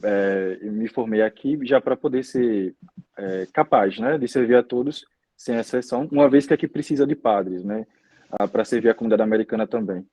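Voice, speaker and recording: Husky young Brazilian priest. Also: male voice, medium pitch, dark tone, no echo. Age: 20s. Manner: measured, many "né?" tags. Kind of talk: interview answers.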